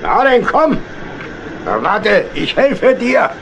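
A jovial locomotive driver in a children's audio play. He speaks slowly and heartily in a fairly high, very animated voice. His tone is kindly. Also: highs cut off, noisy background, exaggerated voice. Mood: hearty. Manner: hearty, calling out, chuckling.

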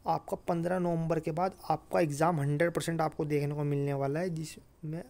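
A man's voice: a little high, little pitch movement, brisk, gravelly, a little mellow. A man giving an informal explainer to viewers as a channel host, often checking in with listeners. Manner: repeats check-in phrases to listeners. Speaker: host of an exam news channel.

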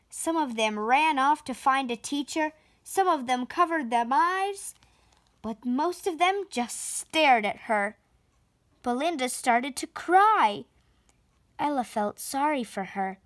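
A preteen girl reading a children's picture book aloud with expressive, deliberate storytelling. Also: sits high, no echo. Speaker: storybook reader and channel host. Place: indoor room at home, on a sofa.

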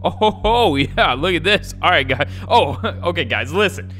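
A man's voice: on the high side, animated, moderate pace, a bit bright. A young man giving jokey, slangy, casual gameplay commentary as the host of a gaming video. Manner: jokey, slangy, calls viewers "guys" and "dude". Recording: music behind the voice. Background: music.

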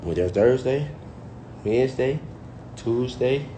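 A man in his twenties chatting excitedly on a phone livestream from a hotel room, with stammering repeats and bursts of shouting and laughter. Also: medium pitch, slow, slight background noise. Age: 20s. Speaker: livestream host. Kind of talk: casual bragging chat on a phone livestream. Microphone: phone held at arm's length.

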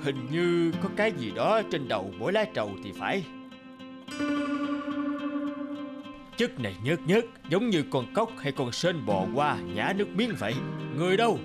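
A man playing a stern but fair royal judge in a cartoon folktale, speaking with deliberate authority. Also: a little high, very animated, over loud music. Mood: investigating, commanding. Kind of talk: animated folktale acting.

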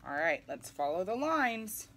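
Animated young woman reading to children. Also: mid-range voice, unhurried. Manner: animated, asking questions of young listeners. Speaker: read-aloud storyteller for children. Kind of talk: children's picture book read-aloud. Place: small indoor room, seated in armchair.

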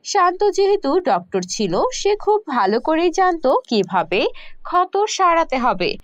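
A woman's voice: sits high, very animated, unhurried, highs cut off. A woman narrating a scripted cartoon fairy tale as a storyteller.

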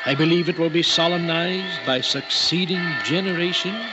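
A man's voice: a little high, moderate pace, natural voice. An actor playing a solemn, visionary American statesman, speaking in grand declamatory 1940s radio drama style. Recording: dull old radio recording, loud music.

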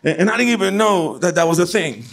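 A man in his forties from Puerto Rico with a New York accent, hosting a game show on a club stage and doing loud, profane crowd work into a handheld mic. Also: high-pitched, animated, fast talker, gravelly. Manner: loud, profane, teasing the audience. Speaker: comedian game show host. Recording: live club with crowd noise. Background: audience laughing and clapping.

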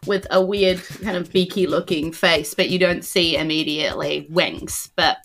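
A woman's voice: fairly low pitch, moderate pace, fairly bright tone, a little hiss or hum. A woman improvising narration as game master of a tabletop game, informal and descriptive.

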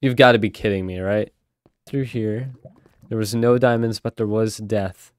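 A man's voice: fairly low pitch, moderate pace, clean tone, no room sound. A young man with a stuffy, nasal voice from a cold, rambling casually through gameplay commentary. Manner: rambling, chatty, with sudden excited outbursts. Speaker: gaming channel host.

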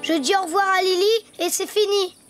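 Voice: very high voice, fairly even intonation, a little fast. An actor voicing an eager, playful, imaginative little boy donkey in a children's cartoon. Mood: curious, cheerful. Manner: playful, exclaiming. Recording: music behind the voice.

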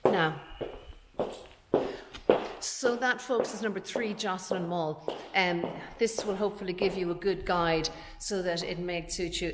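Irish woman estate agent doing a walk-and-talk tour, lowish voice, bit of noise on the handheld audio. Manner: chatty, explanatory, talking while walking. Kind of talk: informal property walkthrough narration. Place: inside empty commercial unit, large bare rooms.

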